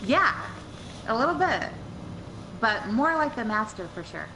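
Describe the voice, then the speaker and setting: A woman chatting casually with friends while they play a game online, with animated bursts. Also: a little high, unhurried, background noise. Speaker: gaming guest. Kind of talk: casual gaming chat.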